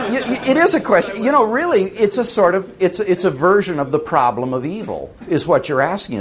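A man answering audience questions after a talk, thoughtful and explanatory. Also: medium pitch. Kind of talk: lecture Q&A answers.

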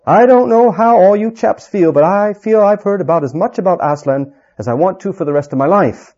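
A man voicing a gruff, scornful male dwarf in a read-aloud story, talking coarsely and with contempt. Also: high voice, brisk, darkish tone, dull audio, voice pushed up. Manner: scornful, coarse, common-sounding.

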